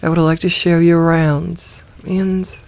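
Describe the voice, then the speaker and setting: A woman voicing a possessive, teasing succubus demoness in a soft ASMR roleplay, coaxing and soothing, with some breathy moments. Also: fairly low pitch, level tone, a little slow. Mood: sly, inviting.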